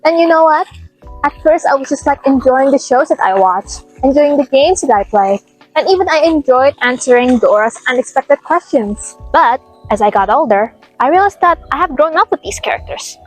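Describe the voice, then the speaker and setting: Young woman, fairly high voice. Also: expressive, moderate pace. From Indonesia. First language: Indonesian. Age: young adult. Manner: casual, frequent "like" fillers. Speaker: vlogger. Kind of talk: scripted vlog narration. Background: faint lo-fi music.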